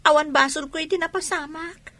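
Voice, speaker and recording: A woman's voice: high-pitched, fairly even intonation, brisk, sharp tone, no echo. A woman with an Ilocano accent playing a meek, apologetic woman in a radio drama, hesitant and deferential. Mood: meek, pleading. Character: meek, apologetic woman pleading against eviction.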